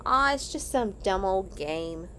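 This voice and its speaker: A woman's voice: mid-range voice, lively intonation, unhurried, fairly bright tone, a little hiss or hum. A woman voicing a bossy, scornful older brother, a young boy in a storybook, whiny and sneering.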